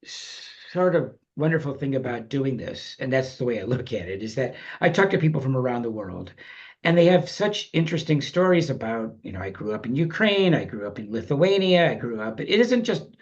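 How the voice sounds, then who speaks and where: Male guest, middle pitch, reflective and rambling, talking over a muffled, lo-fi line. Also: big pitch swings, moderate pace. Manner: reflective, rambling, many you-knows and restarts. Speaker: podcast guest. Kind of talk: casual interview chat.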